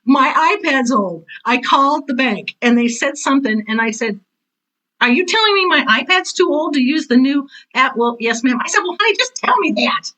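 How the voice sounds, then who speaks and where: Interview guest: American woman, 60s, crisp bright delivery, frank, no-nonsense storyteller. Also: high-pitched, expressive, moderate pace, rough recording. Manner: blunt, forthright, anecdotal. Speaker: interview guest, drama teacher and author. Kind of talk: candid personal conversation.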